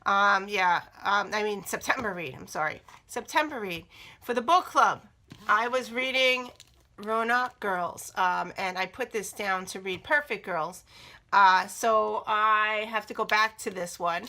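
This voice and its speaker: A middle-aged woman talks casually to camera about her reading and journals, her voice slightly raspy from a cold. Her tone is bright and forward. She rambles, with frequent ums and restarts. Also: medium pitch, a little slow. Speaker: book vlogger. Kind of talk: casual chat showing items to camera.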